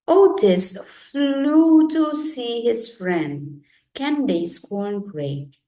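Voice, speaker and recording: A woman reading a children's storybook aloud as an expressive narrator. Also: fairly high pitch, drawn-out words. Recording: roomy echo, distant microphone.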